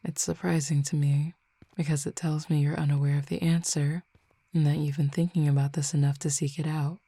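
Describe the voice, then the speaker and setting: A woman voicing a composed, formal supernatural cupid roommate who speaks in precise, measured words and teases gently. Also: low voice, flat delivery, slightly rough, no echo. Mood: curious. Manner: precise, formal wording, measured and unhurried, soft asides. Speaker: voice actor in an audio roleplay. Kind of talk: audio roleplay addressed to the listener.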